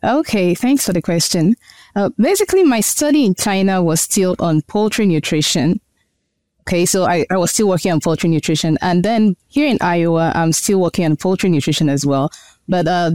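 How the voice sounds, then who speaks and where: A woman in her thirties answers interview questions about her work. Her voice is smooth and resonant, with a dry, close sound. She talks in an earnest, explanatory way, thinking things through as she goes. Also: lowish voice, darkish tone, no echo. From Nigeria. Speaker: guest researcher.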